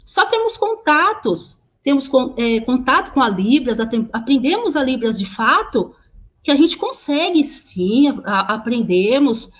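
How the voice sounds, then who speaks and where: A woman interpreting sign language into speech over a video call, halting, with many restarts and repeated words. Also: high-pitched, very animated. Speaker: sign language interpreter voicing a deaf teacher.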